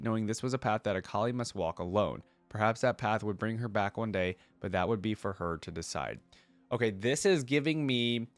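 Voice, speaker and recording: A man's voice: on the low side, no echo. A man hosting a reaction video, reading lore aloud and adding casual commentary as he thinks aloud.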